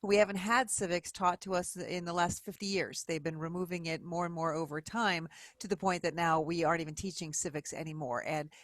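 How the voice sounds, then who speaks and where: A woman's voice: lowish voice, fairly even intonation, fairly quick, hardly any pauses, no echo. Middle-aged woman guest, upbeat explainer, dry close sound. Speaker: guest author. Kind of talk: interview talk.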